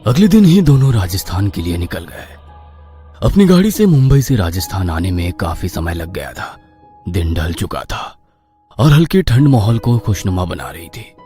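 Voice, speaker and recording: Expressive low-voiced male storyteller. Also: normal speed, faint music behind. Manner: theatrical, suspenseful storytelling. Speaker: narrator. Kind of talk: dramatic horror story narration in Hindi.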